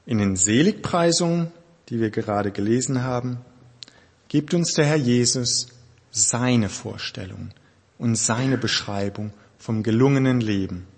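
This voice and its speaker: A German man preaching a sermon in a thoughtful, conversational way, pausing often with hesitations. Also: mid-range voice, a little mellow, big-room sound. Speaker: pastor.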